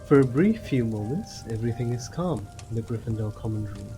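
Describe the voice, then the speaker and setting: A man narrating a scripted audio drama as a measured, descriptive storyteller. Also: mid-range voice, expressive, dark tone, faint music behind, natural voice.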